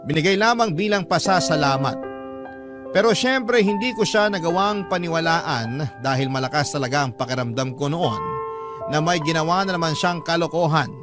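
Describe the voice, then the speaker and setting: Radio drama narrator: Filipino man by male actor, earnest confessional letter sender, slightly high pitch, clear music bed. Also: animated, normal speed, close to an everyday voice. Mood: troubled, reflective. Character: male letter sender earnestly recounting his troubled past. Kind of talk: dramatic first-person radio storytelling.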